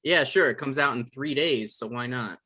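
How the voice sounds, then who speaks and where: A man's voice: mid-range voice, normal speed, pushed and bright. A man playing a naive, defensive novelist in a comedy table read.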